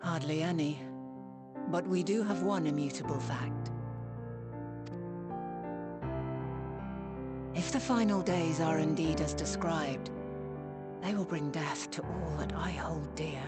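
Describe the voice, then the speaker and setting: A woman playing a thoughtful, resolute figure of an ancient people in a video game cutscene, speaking formally and deliberately. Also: low voice. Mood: measured, analytical. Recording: loud music under the voice.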